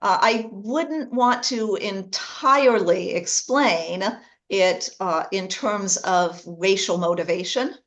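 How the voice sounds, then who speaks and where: Female scholar, careful and measured, answering questions. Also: medium pitch, a bit bright. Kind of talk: academic discussion.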